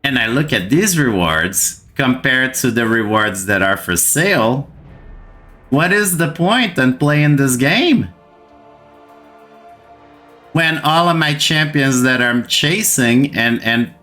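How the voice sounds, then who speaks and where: Slow-talking Brazilian man with bright voice. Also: medium pitch, expressive, soft background music. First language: Portuguese. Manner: exasperated, repeats words for emphasis. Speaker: gaming channel host. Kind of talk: frustrated gaming rant to camera.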